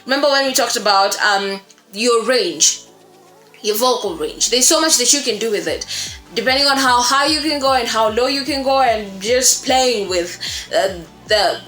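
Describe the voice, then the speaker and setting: Bright-voiced woman teaching in an echoey room. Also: a little high. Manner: explanatory, asks rhetorical questions, checks in with "okay?". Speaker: voice acting tutor, video host. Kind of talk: informal tutorial talk to camera. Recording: echoey room, music underneath.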